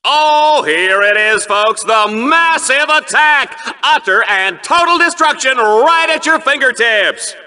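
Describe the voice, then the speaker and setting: A video game arena announcer with a very bright, forceful, high-pitched voice. He is smarmy and hyperactive, swooping theatrically through bombastic commentary with a sarcastic edge. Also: expressive, takes it slowly, heavily acted. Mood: gleeful, hyped. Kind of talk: bombastic game-show style sports commentary.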